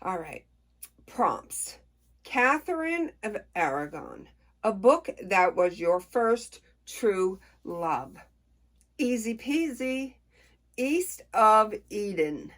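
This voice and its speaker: A middle-aged woman chatting casually to camera about books, unhurried and folksy, with a playful, expressive way of talking. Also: mid-range voice. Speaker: book reviewer on a personal channel.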